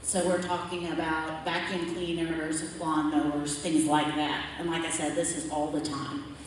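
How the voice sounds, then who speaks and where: A woman's voice: flat delivery, measured pace, a little hiss or hum. A woman giving a plain, explanatory slide presentation at a community forum, often checking in with "okay?". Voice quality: low voice. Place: large echoey meeting hall. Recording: echoey hall, voice from far off.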